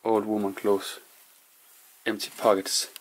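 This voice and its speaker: A man with a low voice talks slowly and softly in as he picks through an abandoned house. His hushed, musing narration carries a faint hiss behind it. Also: flat delivery, low-quality recording. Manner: hushed, musing, non-native English phrasing. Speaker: urban explorer vlogger. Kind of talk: casual narration while exploring.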